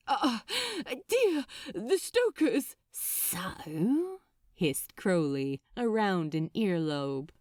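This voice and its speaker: A woman reading fiction as an audiobook narrator, expressive and dramatic, with deliberate phrasing. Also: mid-range voice, dry recording, clean studio sound.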